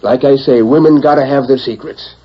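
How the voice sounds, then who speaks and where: Man acting a husky, folksy old rancher. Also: medium pitch, fairly quick, natural voice. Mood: reassuring. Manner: drawling folksy cowboy speech. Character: folksy older rancher, easygoing reassuring father. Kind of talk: 1940s radio western acting. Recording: muffled 1940s radio recording.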